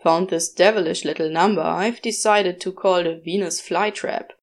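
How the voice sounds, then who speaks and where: A woman voicing a laconic, sceptical male demon, deadpan and drawling, in an audiobook. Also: lowish voice, normal speed, natural voice. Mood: musing. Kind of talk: audiobook character voice.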